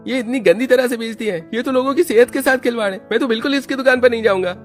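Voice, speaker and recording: A man puts on a gullible, fussy diner in a moral cartoon. The voice is pushed up very high, with faint music behind. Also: quick pace. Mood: disgusted. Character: fussy, gullible restaurant customer, easily disgusted. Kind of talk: Hindi moral cartoon voice acting.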